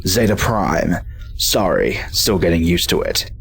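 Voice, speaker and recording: A man playing a calm, dry-humored robot hero with an understated, earnest delivery. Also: low-pitched, measured pace, gravelly, soft background music, exaggerated voice.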